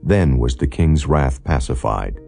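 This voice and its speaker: A man reading scripture as a solemn, formal audiobook narrator, in a measured and non-dramatized style. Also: extremely low pitch, expressive, vocal fry, mellow. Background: background music.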